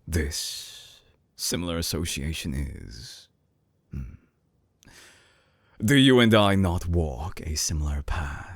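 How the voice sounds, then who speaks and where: An actor playing a suave, obsessive villain scientist in an intimate binaural audio drama, teasing and menacing, with a husky voice that slips into breathy whispers. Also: male voice, extremely low pitch, no echo, exaggerated voice. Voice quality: husky, gravelly. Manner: slow, theatrical, teasing, sliding into breathy whispers. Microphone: close binaural microphone.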